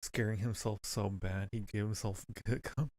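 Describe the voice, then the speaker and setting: A man's voice: on the low side, fairly even intonation, normal speed, darkish tone. A man hosting a live game stream, chatting casually with music playing behind him. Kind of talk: casual live chat while gaming.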